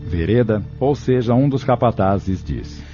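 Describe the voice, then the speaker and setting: A man's voice: on the low side, expressive, unhurried. A man giving scripted, dramatic narration for an audio novel. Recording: dull, lo-fi recording with music.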